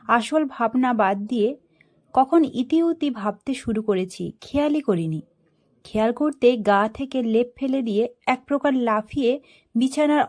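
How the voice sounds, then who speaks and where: High-pitched woman narrating a story, very lively. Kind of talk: dramatic first-person story narration.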